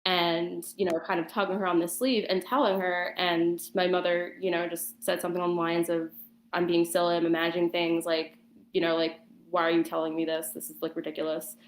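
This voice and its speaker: Low-voiced woman in an echoey room. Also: level tone, a little fast, slight background noise. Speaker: guest telling a personal account. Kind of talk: casual conversational storytelling. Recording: roomy, echoing voice chat.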